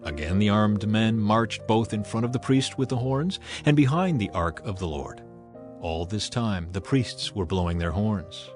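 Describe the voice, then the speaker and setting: A man's voice: on the low side, expressive, unhurried. A man gives a solemn, declamatory narration in the style of a dramatized audio Bible. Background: background music.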